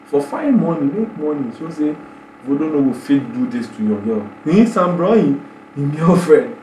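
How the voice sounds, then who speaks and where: Young male reaction vlogger, Nigerian Pidgin accent, excitable and stammering, echoey room with faint hum. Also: a little high, moderate pace. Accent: Nigerian Pidgin English. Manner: excitable, exclaiming, stammering repeats. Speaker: YouTube reaction host. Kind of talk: animated casual reaction commentary in Pidgin. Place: small bedroom indoors.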